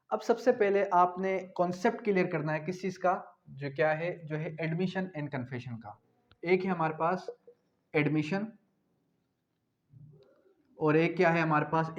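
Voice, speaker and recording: Slow, deliberate Pakistani man lecturing. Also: fairly high pitch, highs cut off. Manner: didactic, repetitive, posing questions and answering them. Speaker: law lecturer. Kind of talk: explanatory lecture with slow dictation of definitions.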